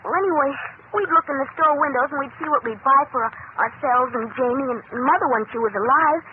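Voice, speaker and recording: A performer playing an earnest, wounded young boy with a halting manner in an old-time radio drama. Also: high-pitched, lightly acted. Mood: shy, hopeful. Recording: scratchy, muffled old-time radio recording.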